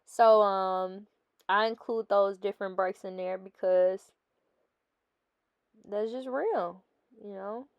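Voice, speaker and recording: A woman's voice: mid-range voice, steady tone, slow pace, long pauses, clear, resonant tone, no room sound, highs cut off. A young woman with a stuffy nose, chatting casually as a fitness vlogger over her workout footage and often calling her viewers "guys.".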